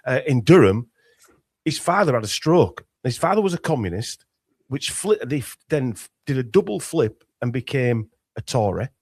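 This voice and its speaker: A man's voice: mid-range voice, big pitch swings, normal speed, darkish tone, slightly rough, no echo, clean studio sound. A man with a Yorkshire accent talking at length as an author guest in an interview, with many fillers and restarts. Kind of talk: conversational interview.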